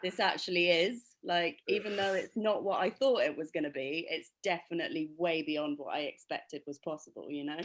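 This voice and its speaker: A young woman co-hosting a podcast, slightly husky from a cold, chatting casually and upbeat. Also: deep voice, moderate pace, few pauses, low-quality recording. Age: young adult. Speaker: podcast co-host.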